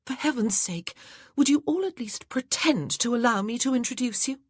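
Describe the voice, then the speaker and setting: A woman's voice: a little high, moderate pace, husky, dry recording, studio quality, voice pushed up. A narrator voicing an earnest young married woman who speaks eagerly in a period audiobook. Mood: urging. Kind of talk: audiobook character voice.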